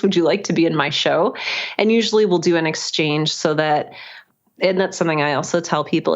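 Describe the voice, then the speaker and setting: The audio is a little dull, as on a remote call. A young American woman talks casually as an interview guest, her voice a bit lower than average. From United States. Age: young adult. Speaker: audio drama creator, podcast guest.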